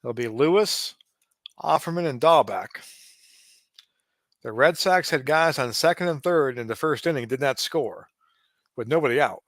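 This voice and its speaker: A man in his late forties doing casual play-by-play of a simulated baseball game, relaxed and chatty with frequent asides. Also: mid-range voice, moderate pace, long pauses, dry recording, clean studio sound. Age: 40s. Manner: relaxed, chatty, given to asides and small restarts.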